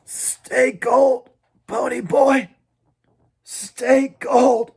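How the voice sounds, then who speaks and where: A man's voice: high-pitched, steady tone, moderate pace, dark tone, pitched above the performer's own voice. A man voicing a badly hurt, dying teenage boy who speaks in weak, strained, stammering bursts.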